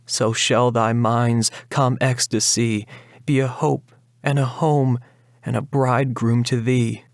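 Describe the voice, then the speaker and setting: A man's voice: mid-range voice, flat delivery, slow, dry recording, studio quality. A man voicing a pious older mother, tender and admonishing, imploring in a prayerful way in a dramatic ballad reading.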